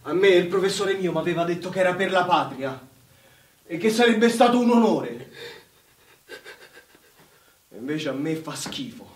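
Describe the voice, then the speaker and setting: An actor playing a bitter, worldly young Italian soldier of the First World War, talking colloquially and insistently. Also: male voice, high-pitched, animated, moderate pace, pauses often, slight background noise. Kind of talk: live stage or radio war drama acting. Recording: roomy, distant microphone, music behind.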